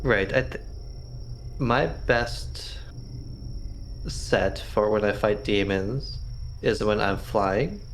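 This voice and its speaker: Low, creaky-voiced man, slow and musing. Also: a little hiss or hum, low-quality recording. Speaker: player in a tabletop roleplaying show. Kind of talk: casual improvised tabletop game chat. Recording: music playing faintly behind. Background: background music.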